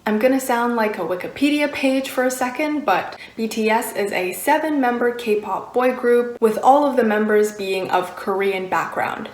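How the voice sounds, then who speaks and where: Woman explaining in a roomy, echoing space. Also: medium pitch. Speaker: video essayist. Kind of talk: explanatory video essay commentary.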